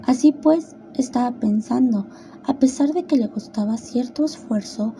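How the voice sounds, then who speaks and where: A woman narrates a storybook in a fairly high voice with a very soft, dark-edged mellow tone. The delivery is scripted storytelling, with faint noise in the background. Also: low-quality recording.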